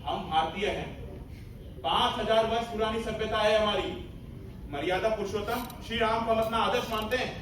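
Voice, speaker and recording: A man's voice: high voice, normal speed, fairly bright tone. A man playing a principled, commanding army major in a theatrical stage play, emphatic and mixing in English orders. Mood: calm, firm. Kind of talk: theatrical Hindi stage acting. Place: stage of a hall at a student festival. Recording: echoey hall, far mic, faint noise.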